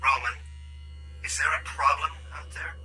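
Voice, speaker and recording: A man's voice: on the high side, fairly even intonation, moderate pace, pushed and bright, faint music behind. A man acting in an audio drama as a sardonic boss with a dry manner. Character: sardonic male boss speaking over intercom.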